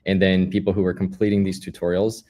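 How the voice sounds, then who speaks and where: A man with a very deep voice talks as a guest in an interview. He recounts his experiences in a casual, explanatory way, as if chatting through a story. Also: little pitch movement, fast, mellow. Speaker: guest, startup founder.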